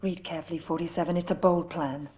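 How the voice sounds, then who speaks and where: An actress playing a cool, scheming female intelligence handler in a video game cutscene, measured in manner. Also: on the low side, fairly even intonation, darkish tone, natural voice. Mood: urgent, hushed. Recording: background music over dialogue.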